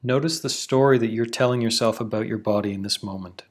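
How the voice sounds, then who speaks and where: A man leading a guided meditation, giving slow, soothing instructions with long pauses. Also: mid-range voice. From Canada.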